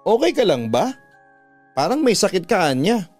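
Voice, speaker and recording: A man's voice: high voice, sing-song, normal speed, darkish tone, soft background music, pitched above the performer's own voice. A man with a Filipino accent playing a puzzled, questioning schoolgirl in a radio drama. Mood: concerned. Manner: questioning, incredulous. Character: puzzled young schoolgirl questioning her friend.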